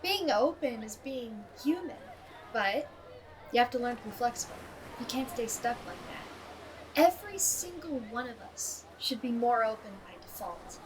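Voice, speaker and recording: An actress playing an earnest, loyal member of a self-help group in naturalistic audio fiction, assertive and a little preachy. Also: female voice, on the high side, animated, measured pace, background noise.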